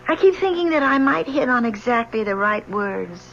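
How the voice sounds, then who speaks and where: A woman's voice: fairly high pitch, animated, moderate pace, gravelly, fairly bright tone. An actress playing a listless, lovelorn young woman, a sleepless professor's daughter in a radio drama. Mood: despondent, wistful. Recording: muffled old radio recording, faint noise.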